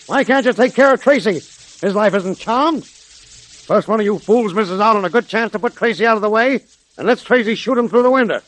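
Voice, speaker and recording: High-pitched, snarling gang boss. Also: male voice, moderate pace, fairly bright tone, poor audio. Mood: angry, scolding. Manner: snarling, slangy tough-guy talk. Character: bullying, blustering male gang boss of a mining town. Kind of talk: 1930s radio acting. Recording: vintage 1930s radio recording.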